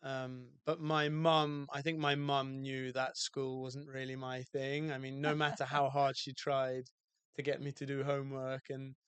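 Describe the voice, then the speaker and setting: Relaxed British man, flat even tone. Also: medium pitch, unhurried, few pauses, smooth, no room sound. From UK, outside London. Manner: relaxed, reflective, many fillers and restarts. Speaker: guest, hotel owner. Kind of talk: casual podcast interview.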